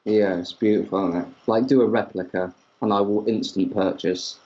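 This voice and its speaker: A young man gushes over his favourite topic, tripping over restarts as his enthusiasm runs ahead of his words. His voice is husky, heard through a dull, lo-fi mic. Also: lowish voice, fairly even intonation, brisk, mellow. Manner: enthusiastic, rambling, stumbling restarts. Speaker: podcast co-host. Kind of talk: casual chat between fans.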